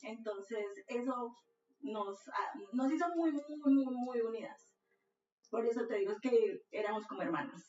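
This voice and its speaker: A woman's voice: fairly high pitch, moderate pace, a little mellow. A woman speaking emphatically in an interview, repeating words for stress. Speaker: interviewee, relative. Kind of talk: documentary interview. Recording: roomy, distant, dull audio with music.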